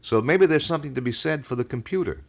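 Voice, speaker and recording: A man hosting a local radio talk show, speaking in wordy, measured and detailed explanations. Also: mid-range voice, expressive, creaky. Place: radio studio.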